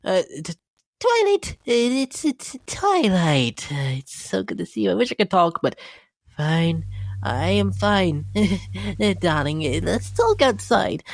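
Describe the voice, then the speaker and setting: A woman voicing a deranged, refined female pony in a horror dramatic reading, genteel and theatrical, with a breathy voice that often drops to a whisper. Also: medium pitch, very animated, dry recording, exaggerated voice. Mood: flustered, panicked. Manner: theatrical, genteel, drawn-out delivery. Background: background music.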